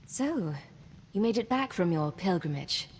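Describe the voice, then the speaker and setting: A woman's voice: mid-range voice, lively intonation, measured pace, darkish tone, big-room sound, slight background noise. An actress voicing a composed, direct female imperial secret agent in a video game. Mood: caring. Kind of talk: scripted video game voice acting.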